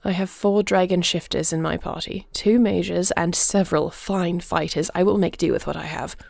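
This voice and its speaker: A woman playing a commanding dragon-shifter warrior queen in a fantasy audio roleplay, speaking formally, deliberately and imperiously. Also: fairly low pitch, steady tone, vocal fry, darkish tone, no room sound. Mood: steely, urgent.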